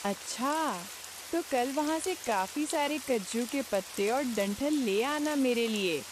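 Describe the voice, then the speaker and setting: A nagging village wife from a cartoon tale, voiced by a woman, speaks in a fairly high voice with lively swings. She is bossy, homely and insistent. Rain and hiss run behind her. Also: measured pace. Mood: coaxing. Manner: insistent, scolding. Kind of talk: cartoon story dialogue acting. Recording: hiss with rain and sizzle noise.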